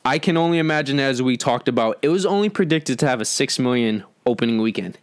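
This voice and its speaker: A man co-hosting an entertainment podcast, talking casually through box-office figures with halting restarts. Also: medium pitch, animated.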